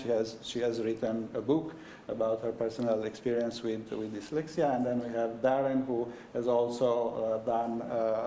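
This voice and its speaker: Male host, Romanian first language, halting delivery full of fillers, echoey hall recording. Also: mid-range voice, mellow, slight background noise. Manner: halting, many fillers and restarts. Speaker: event host introducing the book. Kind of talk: opening remarks at a book launch. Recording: echoey lecture room.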